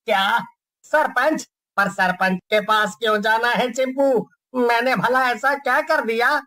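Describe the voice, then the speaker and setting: A man's voice: very high-pitched, measured pace, sharp tone, heavily acted. A man playing a scheming village prankster who poses as a ghost, theatrical and blustering, in a cartoon story. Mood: worried.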